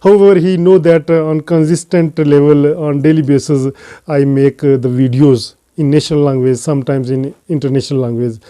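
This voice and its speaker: A man with a Pakistani accent hosting an English-learning channel, talking casually to camera and pausing often on "uh" fillers. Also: on the high side, steady tone, warm tone. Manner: hesitant, frequent "uh" fillers between phrases. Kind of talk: casual unscripted talk to camera.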